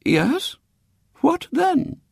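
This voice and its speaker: A man voicing a frail, mischievous elderly great-aunt in a story reading, with a shrill, gleeful voice. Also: very animated, extremely slow, vocal fry, dry recording, clean studio sound, heavily acted. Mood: amused. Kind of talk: audiobook character voice.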